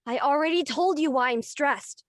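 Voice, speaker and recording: A woman acting a stressed, studious high school girl in a dramatic read, serious and testy. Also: moderate pace, no room sound, studio quality, natural voice. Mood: angry, defensive. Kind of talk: online dramatic table read.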